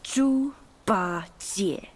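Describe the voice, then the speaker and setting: A woman playing an elegant, vengeful young spider demoness in a video game cutscene, theatrical, measured and silkily menacing. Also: mid-range voice, expressive, a little hiss or hum. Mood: cold menace.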